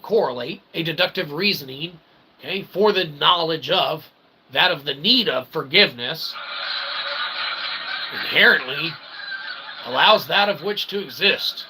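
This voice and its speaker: A man's voice: on the high side, pushed and bright, a little hiss or hum, low-quality recording. A man delivering a tongue-in-cheek, rambling philosophical monologue as a channel host, in wordy, convoluted phrasing with frequent check-ins.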